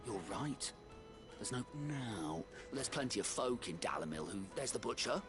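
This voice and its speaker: An actor playing a flustered, self-deprecating apprentice with a hesitant, rambling manner in a video game. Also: male voice, medium pitch, big pitch swings, normal speed, natural voice. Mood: thinking aloud. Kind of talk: video game dialogue acting. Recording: game music behind the voice.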